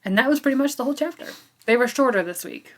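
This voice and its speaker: A woman's voice: fairly high pitch, lively intonation, fast talker. A woman co-hosting a podcast, recapping a book in an informal, conversational way. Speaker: podcast co-host. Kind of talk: casual book discussion.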